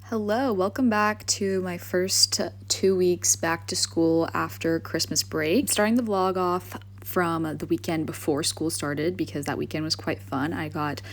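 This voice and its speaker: A woman's voice: lowish voice, fairly even intonation, measured pace, hardly any pauses. A young American woman chatting casually as a student vlogger, recapping her days in an informal way. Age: young adult. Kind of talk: casual vlog chat with voice-over recaps.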